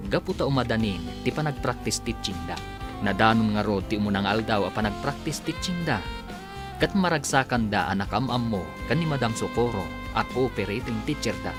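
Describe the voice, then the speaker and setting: A man with an Ilocano accent narrating a radio drama in a dramatic announcer's delivery. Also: fairly low pitch. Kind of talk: scripted narration. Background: music.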